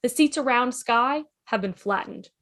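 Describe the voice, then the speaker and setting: Female narrator, high register, punchy dramatic reading of stage directions for an amateur script read. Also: unhurried, fairly bright tone. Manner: punchy, reads sound effects aloud.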